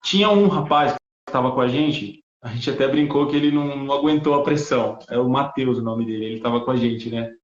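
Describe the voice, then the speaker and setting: A man, a missionary guest on a video call, recounting a church trip in a halting way and repeating his words. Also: mid-range voice. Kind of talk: casual testimony. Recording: echoey, lo-fi call audio.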